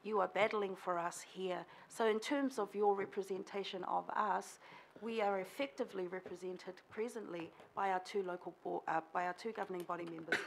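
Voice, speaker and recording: Woman local board member with Samoan as her first language, husky fry in the voice, emphatic, repeats her points in a council chamber. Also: lowish voice, slight background noise. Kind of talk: formal presentation to a council.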